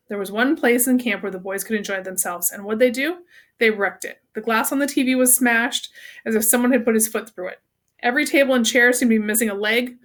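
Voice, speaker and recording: A woman's voice: fairly high pitch, fairly bright tone. A woman reading a children's novel aloud as a storyteller. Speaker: read-aloud reader.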